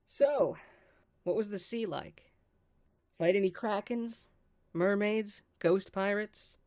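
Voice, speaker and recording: A woman's voice: mid-range voice, slow pace, darkish tone, natural voice. A woman acting a brash, sardonic young woman in an audio drama, with a dry, teasing manner. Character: brash, teasing young woman, bored with small-town life.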